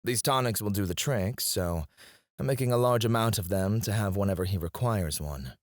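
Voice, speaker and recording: A man's voice: fairly low pitch, normal speed, no room sound, studio quality, close to an everyday voice. An actor playing a haughty, sarcastic, prickly and flirtatious male court alchemist in an ASMR fantasy roleplay, with a sardonic drawl. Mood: mocking, irritated. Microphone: close studio mic, dry.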